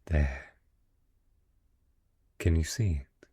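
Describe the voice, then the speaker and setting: Man voicing a deep, husky, seductive dragon lord. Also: warm tone, full character voice. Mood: intimate, admiring. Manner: slow, teasing, courtly, coaxing. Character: wealthy dragon in human guise, possessive, seductive, teasing merchant patron. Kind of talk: romantic fantasy audio roleplay, intimate address to the listener.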